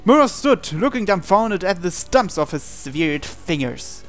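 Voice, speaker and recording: Male let's player, slightly high voice, dramatic visual novel narration with offhand asides, music underneath. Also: sing-song, measured pace. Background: game music.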